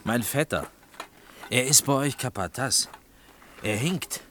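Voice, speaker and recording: An actor in a radio play voicing an earnest, stubborn village potter with a plain, hesitant yet insistent way of talking. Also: male voice, medium pitch, lively intonation, slow, a bit bright, a little hiss or hum. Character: earnest, stubborn young village potter searching for his cousin.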